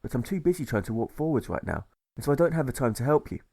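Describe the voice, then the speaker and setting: A man's voice: mid-range voice, big pitch swings, quick pace, vocal fry, a little mellow. A man voicing a busy, dismissive male crab in a storybook fable, brusque and harried.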